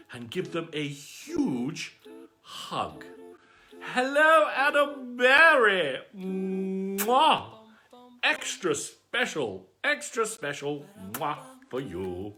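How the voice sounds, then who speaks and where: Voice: mid-range voice, wildly swooping pitch, drawn-out words, pushed and bright, faint music behind, full character voice. A performer voicing a pompous aristocratic cat lord, gushing theatrically and affectionately as host of a live bedtime story show. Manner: pompous, gushing, luvvie exclamations. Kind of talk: theatrical livestream greeting and shout-outs.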